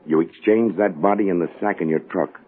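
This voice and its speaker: A man's voice: sits low, a little fast, lightly acted. A man playing a terse, exacting criminal schemer giving orders in a 1940s radio drama. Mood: tense, commanding. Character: commanding criminal schemer, brisk and exacting boss. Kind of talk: 1940s radio crime acting. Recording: muffled vintage 1940s radio recording.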